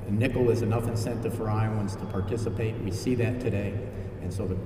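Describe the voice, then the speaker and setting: A man's voice: on the low side, fairly even intonation, fast. A man runs a press event, introducing speakers and fielding questions in an upbeat, promotional way. His mid-range voice sounds distant and washes around a strongly reverberant hall, with noise behind it. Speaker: event organizer and emcee. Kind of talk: press conference remarks. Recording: very echoey hall, distant mic, background noise.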